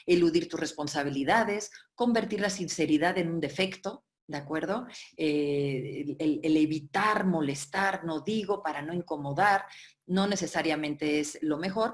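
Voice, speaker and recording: Low-voiced woman giving a webinar lecture. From Barcelona, Spain. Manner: explanatory, with frequent check-ins and filler "eh". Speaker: workshop facilitator.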